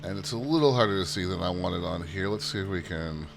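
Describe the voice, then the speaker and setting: Low-voiced man with steady hum. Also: fast talker, vocal fry. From United States. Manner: easygoing, self-deprecating, chatty tour-guide delivery. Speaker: flight sim channel host. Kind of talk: casual gameplay commentary.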